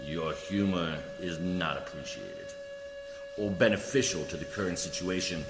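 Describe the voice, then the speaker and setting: A man's voice: sits low, wildly swooping pitch, normal speed. A man acting a coolly formal, condescending alien judicial official in a science fiction radio play, speaking with precise diction. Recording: echoey hall reverb, music behind.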